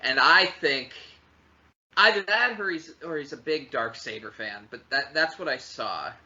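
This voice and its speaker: A man co-hosting a podcast, chatting casually with opinions, halting and then emphatic. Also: fairly high pitch, animated, pushed and bright. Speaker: podcast co-host. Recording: dull audio with faint background noise.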